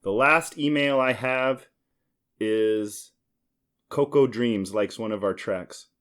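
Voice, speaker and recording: An American man in his forties chatting casually as a podcast host, jokey and given to excitable storytelling. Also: lowish voice, takes it slowly. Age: 40s. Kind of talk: casual board game banter.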